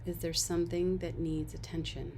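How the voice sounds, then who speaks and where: A woman leading a guided body-scan meditation as an instructor, giving gentle, unhurried instructions and soft invitations. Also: on the low side, steady tone, a little hiss or hum.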